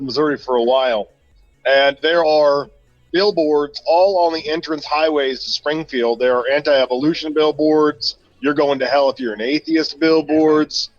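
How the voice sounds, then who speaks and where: A man from Argentina chatting casually as a guest, speaking in a measured, dry way while driving. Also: fairly high pitch. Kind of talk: casual chat. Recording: dull lo-fi sound.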